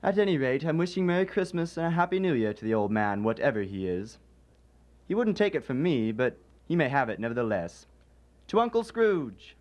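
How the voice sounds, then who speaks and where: An actor playing a cheerful, forgiving young nephew in a radio drama, good-humoured and animated. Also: male voice, mid-range voice, a little fast, lightly acted.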